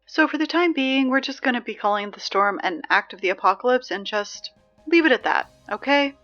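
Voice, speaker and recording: A woman's voice: a little high, fairly bright tone, dull audio. A woman playing a sarcastic, exasperated teenage girl who reads school announcements in a casual, conversational audio drama style. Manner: snarky, conversational, dry.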